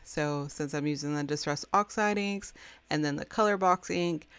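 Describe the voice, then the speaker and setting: A young woman talks through a card-making tutorial in a relaxed, chatty voice-over, laughing off her own messiness. Her voice sits a little low and is smooth and resonant, with a slight morning rasp. It is recorded dry and close, with no room sound. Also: no echo. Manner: chatty, self-deprecating, with a few restarts. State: slightly raspy, just woken up. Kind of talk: casual voice-over craft tutorial.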